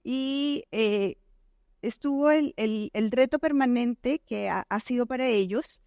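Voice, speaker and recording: A middle-aged Colombian woman speaks into a stage microphone as a panel moderator, her accent softened by long years in Chile. Her voice sits fairly high. The sound is close and dry, and she talks with many pauses and restarts. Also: no echo. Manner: hesitant, many fillers and restarts. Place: conference stage.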